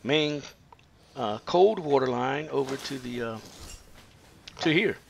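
A man working as a plumber, explaining a pipe installation in an unfinished house, pausing often with fillers. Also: medium pitch, animated, vocal fry, a little hiss or hum. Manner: halting, many "uh" fillers. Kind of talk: hands-on how-to explanation. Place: unfinished house under construction, indoors.

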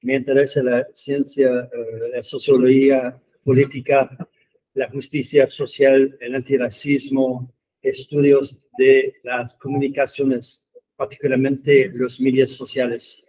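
Canadian man giving a measured, flat talk. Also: medium pitch, low-quality recording. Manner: measured, short phrases, non-native Spanish. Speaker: professor speaking at a seminar. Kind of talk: prepared talk to students. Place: meeting room, indoors. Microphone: handheld microphone.

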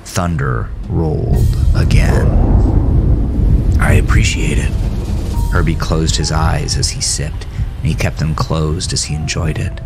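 A man's voice: a bass voice, steady tone, unhurried, creaky, loud music behind the voice. A man reading scripted, dramatic horror narration as a storyteller.

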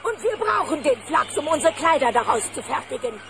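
A woman's voice: high voice, very animated, fairly quick, creaky, over music, exaggerated voice. A woman playing a motherly, fretful older cartoon bear in a children's audio drama. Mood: resigned, motherly. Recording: old cassette with sounds behind.

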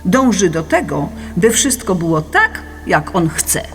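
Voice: mid-range voice, sing-song, a little slow, a bit bright. A narrator reading a scripted philosophical audiobook in an explanatory, didactic manner. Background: music.

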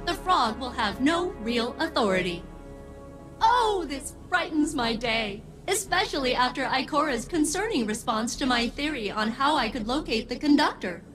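A woman's voice: high-pitched, lively intonation, moderate pace, a bit bright. An actress voicing a bubbly, eager female artificial intelligence in a video game, earnest and sing-song. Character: perky, childlike, eager female spaceship AI. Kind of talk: comic video game dialogue. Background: music.

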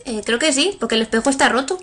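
Young woman, high voice, slow and giggly gameplay chatter with faint keyboard noise. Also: lively intonation, a bit bright. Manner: giggly, reads game text aloud, squeals when startled. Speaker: let's play gamer. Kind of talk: casual gameplay commentary.

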